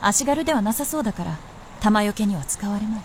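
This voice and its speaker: A woman's voice: mid-range voice, animated, fast, a little hiss or hum, natural voice. A woman playing an aloof, proud immortal princess in a Japanese period radio drama, with archaic, composed, lordly speech. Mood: wry, unhurried.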